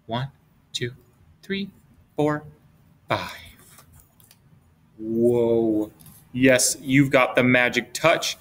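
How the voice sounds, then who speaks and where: A middle-aged man reading a picture book aloud to young children in a playful, expressive way, drawing out words and posing simple questions. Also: medium pitch, extremely slow, long pauses. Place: indoors at a desk, webcam with book camera.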